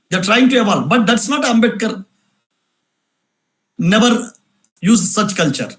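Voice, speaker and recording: High-pitched insistent man. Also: a bit bright, rough recording. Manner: repetitive, insistent, rhetorical questions. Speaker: speaker at a cultural event. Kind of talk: emphatic lecture answering questions.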